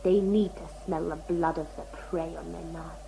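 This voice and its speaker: An actress playing a proud, fierce warrior huntress who speaks in solemn, terse, formal words in an audio drama. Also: female voice, fairly low pitch, fairly even intonation, moderate pace, gravelly, mellow, slight background noise, poor audio. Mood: scornful, solemn. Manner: solemn, terse, formal speech without contractions.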